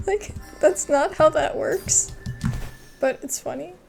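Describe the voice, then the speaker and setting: A young woman giving casual, slangy, excitable commentary as she plays a video game. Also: high voice, slow, gravelly, faint music behind. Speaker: gameplay commentator. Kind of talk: casual gameplay commentary, reacting aloud.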